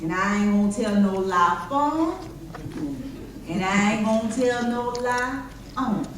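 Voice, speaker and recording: A woman performing as an elderly formerly enslaved woman in a readers theater piece, speaking earnestly and haltingly. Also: a little high, natural voice. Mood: earnest, careful. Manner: halting, repetitive, deliberate. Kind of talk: readers theater monologue in old-time dialect. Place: church hall stage, recorded from afar. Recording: distant, very echoey hall, faint noise.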